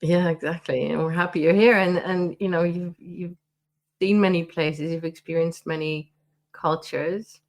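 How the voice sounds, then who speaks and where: A woman with a slightly low voice co-hosts an interview podcast. She speaks in a hesitant, halting way and repeats words as she works toward her question. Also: steady tone. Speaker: podcast co-host. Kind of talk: interview conversation.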